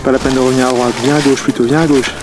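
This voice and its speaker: Excited young man calling ski runs. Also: medium pitch, very fast, very noisy. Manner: animated, swearing, teasing friends. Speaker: freeride ski vlogger commenting a competition. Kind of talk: excited live commentary. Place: outdoors on a snowy mountain. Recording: music playing underneath.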